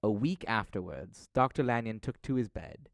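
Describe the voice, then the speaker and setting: A man narrating an audio drama from a script, telling the story in a measured, dramatic manner. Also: fairly low pitch, expressive, gravelly, dry recording.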